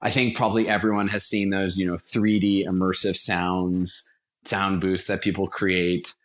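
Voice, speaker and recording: A man's voice: sits low, level tone, normal speed. A man speaking as a guest in a casual podcast interview, with an enthusiastic, conversational manner. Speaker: interview guest, radio theater producer.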